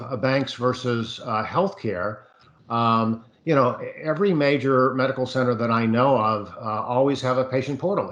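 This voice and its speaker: A man on a virtual panel, his voice in an ordinary middle register. He talks expansively, thinking aloud and filling pauses with small fillers as he builds his point over a video call. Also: mid-range voice, fairly bright tone.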